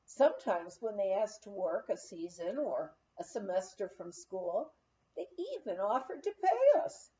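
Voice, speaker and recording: A woman reading a memoir aloud as an expressive storyteller, acting out the dialogue. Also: medium pitch, measured pace, lo-fi sound, a little hiss or hum. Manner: animated, acts out quoted dialogue. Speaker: audiobook reader.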